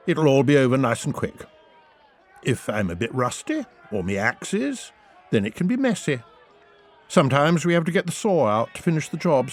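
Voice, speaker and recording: A man voicing a blunt, darkly jovial Tudor executioner with an English accent, giving matter-of-fact advice in a comic audiobook. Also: medium pitch. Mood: cheerfully grim. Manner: matter-of-fact, advising, colloquial. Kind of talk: comic audiobook character reading.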